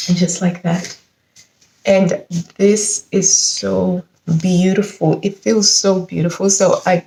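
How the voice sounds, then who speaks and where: A woman in her forties chatting casually about her fabric haul, a little congested from hay fever, talking with chatty enthusiasm. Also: medium pitch. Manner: chatty, enthusiastic, animated with frequent laughs. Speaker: sewing vlogger. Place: living room at home, indoors. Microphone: camera mic at close range. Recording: echoey room.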